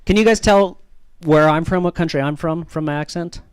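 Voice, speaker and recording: A man's voice: on the high side, brisk, clean tone, no room sound. A man with a Canadian accent doing self-deprecating, ironic stand-up comedy and bantering with the audience.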